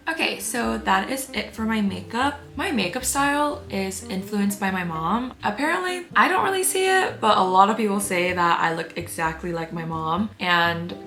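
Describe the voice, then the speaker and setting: A woman, talking softly and reflectively through a get-ready-with-me vlog voice-over. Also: mid-range voice, expressive, normal speed, hardly any pauses, roomy sound, soft background music. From United States. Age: 20s. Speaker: beauty vlogger.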